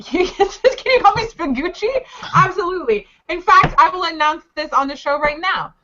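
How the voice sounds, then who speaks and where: A woman's voice: very high-pitched, animated, normal speed, bright, forceful tone. A woman from Canada chatting casually as a panelist on an online show, playful and sarcastic, on slightly dull lo-fi audio. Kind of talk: casual group chat.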